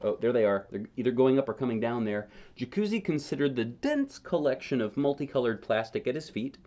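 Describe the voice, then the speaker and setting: A man's voice: mid-range voice, very animated, brisk, warm tone. A man reading a children's picture book aloud as a parent would, conversationally, with casual asides and questions to the listener. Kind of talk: storybook read-aloud with chatty asides.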